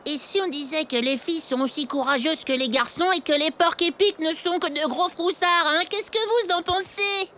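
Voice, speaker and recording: High, fretful little porcupine boy. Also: normal speed, few pauses, slight background noise. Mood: worried. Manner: hesitant, worried, whiny. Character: timid, fretful young male porcupine, anxious coward. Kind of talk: animated cartoon dub, French. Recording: loud music behind.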